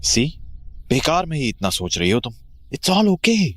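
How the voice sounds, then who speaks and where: A man's voice: a little high, very animated, unhurried, fairly bright tone, no echo, studio quality, full character voice. A man playing a rational, dismissive husband in a horror audio drama, reasoning with mild exasperation. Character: rational, dismissive husband calming his frightened wife.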